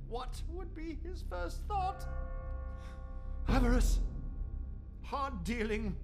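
A frightened old miser begging a silent spirit for answers, as voiced by a man. His voice is strained and very high, the words drawn out slowly over music. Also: exaggerated voice. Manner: pleading, anguished. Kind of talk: radio play acting.

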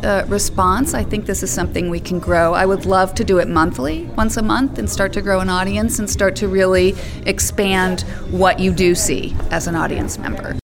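Female theater director, mid-pitched, conversational interview answers, music playing behind her. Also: steady tone, normal speed. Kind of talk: casual interview talk.

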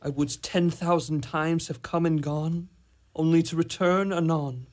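A man's voice: fairly high pitch, steady tone, a little slow, darkish tone, close to an everyday voice. A man playing a lovesick young suitor in an amateur radio drama, declaiming ornate archaic verse earnestly. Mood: wistful.

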